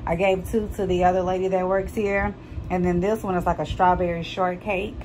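A woman, chatting casually to the camera from a salon and then from a parked car at night, animated and talkative. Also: lowish voice, moderate pace, slight background noise, poor audio. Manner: chatty, animated storytelling, addresses viewers as girl. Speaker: hairstylist vlogger. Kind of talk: casual vlog chat to camera. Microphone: phone camera held close.

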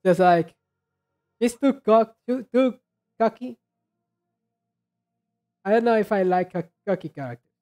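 A reaction video host giving casual commentary, halting and repeating words while thinking aloud. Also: medium pitch, darkish tone, soft background music.

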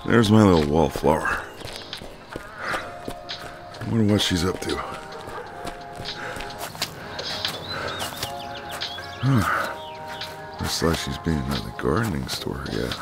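Very deep-voiced gallant neighbour guy played by a man. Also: gravelly, full character voice. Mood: curious, musing. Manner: easygoing, hesitant, conversational, gently teasing. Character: friendly, gallant, teasing ex-marine male neighbour. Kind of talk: intimate ASMR roleplay, one side of a conversation.

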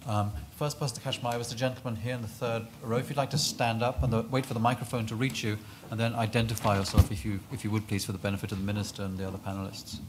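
Brisk, polite male chair. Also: fairly low pitch, little pitch movement, noisy background. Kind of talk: moderating a forum.

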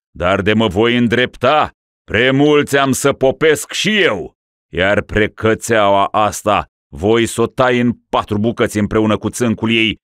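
A man voicing a hoarse raving dying prince. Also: lowish voice, no echo, clean studio sound, pitched above the performer's own voice, natural voice. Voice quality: hoarse, shouting. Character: dying deposed male prince, raving, furious, vengeful. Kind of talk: audiobook reading of a classic story.

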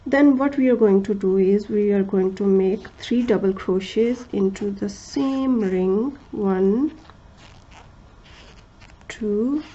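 Woman, non-native English speaker, crochet tutorial host, unhurried delivery, mellow tone, slightly muffled recording. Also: medium pitch. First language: not English. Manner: slow and careful, with many fillers and restarts, counting stitches aloud. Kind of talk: step-by-step craft tutorial.

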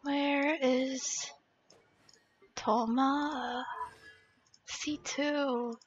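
A woman's voice: sits high, fairly even intonation, extremely slow, slight background noise. A young woman live-streaming a game in casual, reactive chat, swearing casually, on slightly dull lo-fi audio.

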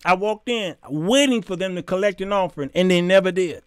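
High-pitched, emphatic American man hosting. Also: brisk, clean tone, no room sound, studio quality. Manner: animated, preacherly, emphatic, with rhetorical questions and acted-out dialogue. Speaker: talk show host. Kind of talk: informal opinionated monologue to camera. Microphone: close condenser microphone on boom arm.

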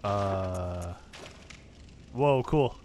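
A man's voice: low-pitched, expressive, extremely slow, a little mellow, a little hiss or hum. A man in his thirties giving casual commentary while streaming a game, chatting with viewers through a headset at home. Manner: chatty, reacting to the game and to chat.

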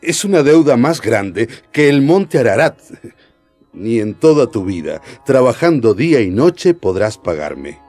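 A man's voice: medium pitch, very animated, a little slow, a little mellow, soft background music. An actor playing an imperious but merciful king in a radio drama. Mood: outraged.

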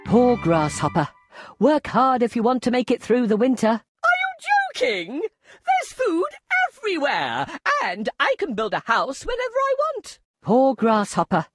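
A woman's voice: a little high, wildly swooping pitch, a little slow, husky, no echo, studio quality, soft background music, full character voice. A woman voicing a little insect in a children's fable, speaking theatrically. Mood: playful.

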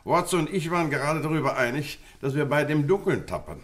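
A man's voice: fairly high pitch, animated, fast talker, fairly bright tone. A man playing a courteous, hearty colonel in a German radio play, easily impressed. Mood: hearty welcome. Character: genial, easily astonished colonel hosting guests.

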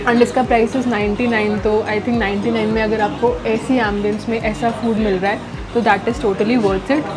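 A woman's voice: fairly high pitch, fairly even intonation, a little slow, poor audio. A woman, chatting casually as a food vlogger and switching between two languages. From India. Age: 20s. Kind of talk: casual vlog review chat, mixing Hindi and English. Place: busy cafe dining room. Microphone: clip-on lapel mic. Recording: background music under voice. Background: music.

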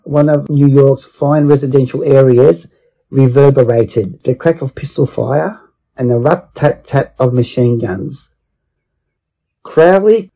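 A man reading a self-help book aloud as an audiobook, in measured phrase-by-phrase narration. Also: medium pitch, creaky, mellow, slightly rough. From Australia. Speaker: audiobook reader. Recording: dull lo-fi recording.

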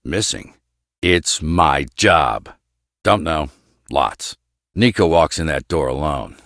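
A very low-voiced, brooding male scientist from a video game. He is gruff and guarded, speaking in terse, deadpan phrases. The recording is close and dry. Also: slow, no echo, exaggerated voice. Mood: curt, guarded.

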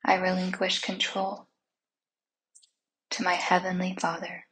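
Very breathy, slow woman guiding meditation. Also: lowish voice, completely flat delivery. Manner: soothing, unhurried, gentle instruction with long pauses. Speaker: guided meditation host.

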